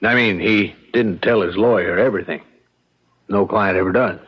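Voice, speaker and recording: A man's voice: fairly low pitch, moderate pace, breathy edge. A man playing a seasoned, worldly small-town lawyer in a radio drama, talking in a blunt, knowing, folksy way. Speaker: actor. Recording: muffled radio drama recording.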